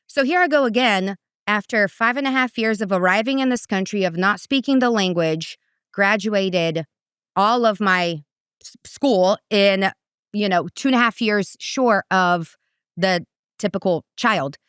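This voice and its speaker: A woman from Brazil, middle-aged, chats about her life in a lively, animated way, and her voice climbs high whenever she quotes someone. Her tone is smooth and clear, and the recording is dry and close with no room sound. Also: measured pace, no echo. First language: Portuguese. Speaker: guest, parenting coach. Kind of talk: casual conversational storytelling.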